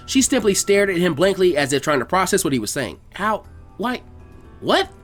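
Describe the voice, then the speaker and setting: A man reading fan fiction aloud as an expressive scripted story narration. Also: sits high, fast, soft background music.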